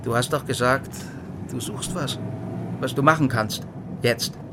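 An actor playing an embittered, conspiratorial former East security officer in a radio crime drama, blunt and pressing. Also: male voice, mid-range voice, unhurried, close to an everyday voice. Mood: confiding, pressing. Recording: loud car interior noise.

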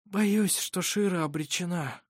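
An old grandfather of a fantasy tale, voiced by a narrator, grave and earnest. His pitch sits high as he implores with weary resignation, in a very dry recording. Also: lively intonation, normal speed, no room sound, close to an everyday voice. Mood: resigned. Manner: sighing, muttering, earnest.

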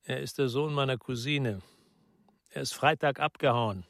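A man's voice: mid-range voice, moderate pace, vocal fry, fairly bright tone, no echo, clean studio sound. An actor playing a blunt, dogged ex-policeman in a radio crime drama, speaking in a terse and direct way. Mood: businesslike.

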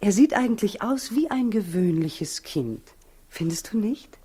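An actress in a 1960s German radio play, playing Mary as a gentle, happy young new mother with a soft, breathy voice. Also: medium pitch, expressive. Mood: happy, tender. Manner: gentle, unhurried.

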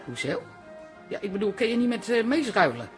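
Theatrical, animated camp neighbour. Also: male voice, high-pitched, a little fast, faint music behind. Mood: chatty, knowing. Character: grandiloquent, good-natured neighbour called Auntie, fond of theatre. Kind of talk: comic radio drama acting.

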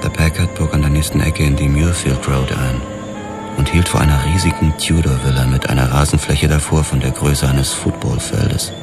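An actor playing a brooding police detective who narrates his case in a measured, world-weary way in a radio drama. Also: extremely low pitch, fairly even intonation. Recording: loud music under the voice.

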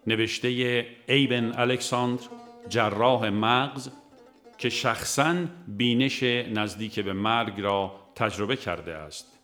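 A man reads a scripted audiobook in a measured, formal manner. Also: medium pitch, fairly bright tone. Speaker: audiobook narrator.

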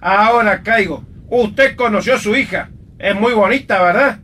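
A man's voice: normal speed, sharp tone. A man playing a stern, scolding wartime army sergeant in a radio play, barking orders. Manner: barking, commanding. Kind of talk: amateur radio play acting. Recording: noisy, distant mic with rumble.

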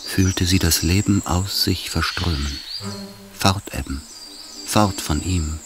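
A man narrating a radio drama in a literary style, measured and solemn. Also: deep voice, noisy background. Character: grave male literary narrator.

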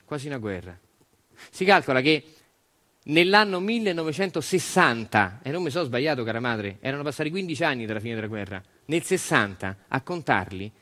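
A man's voice: medium pitch, a bit bright, close to an everyday voice. An actor playing a retired factory worker with a Roman accent, telling his story in a colloquial, repetitive theatrical monologue addressed to his mother.

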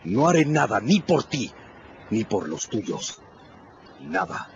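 Slow, scornful revolutionary jailer. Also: male voice, on the low side, sing-song, highs cut off, background noise, exaggerated voice. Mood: cold contempt. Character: hard, contemptuous French revolutionary jailer, sworn to the people. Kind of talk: radio drama acting.

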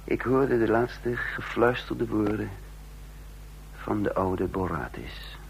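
An actor playing a cold, calculating intelligence chief who speaks formally and deliberately in a radio drama. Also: male voice, lowish voice, vocal fry, low-quality recording. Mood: brooding. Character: cold calculating intelligence chief, narrating his own downfall. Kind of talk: radio drama acting and first-person narration. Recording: vintage radio play with faint hum.

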